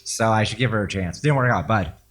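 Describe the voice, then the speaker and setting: A man co-hosting a podcast, telling stories in a dry, low-key, provocative way. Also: a little fast, fairly bright tone. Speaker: podcast co-host. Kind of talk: casual podcast chat.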